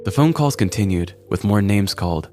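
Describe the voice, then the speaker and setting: A man's voice: fairly low pitch, animated, moderate pace, warm tone, faint music behind. A man reading a scripted comic story as a podcast storyteller.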